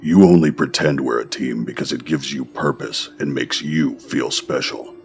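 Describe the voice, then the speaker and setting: A man's voice: rumbling low, normal speed. A man voicing a cold, grim masked male vigilante in a fan-dubbed motion comic, speaking in terse, clipped lines. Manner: terse, clipped, flat menace.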